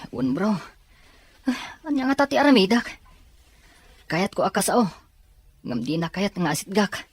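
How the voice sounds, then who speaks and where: Woman as earnest, persistent young male student, medium-pitched, audio drama, very dry recording. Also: sing-song, unhurried, slightly rough, no room sound. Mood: dejected.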